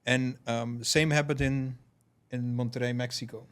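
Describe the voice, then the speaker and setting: A man from Curaçao, a guest on a podcast, telling stories in a thoughtful, relaxed way with many fillers. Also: mid-range voice, normal speed. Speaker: podcast guest, payments founder. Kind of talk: casual podcast conversation.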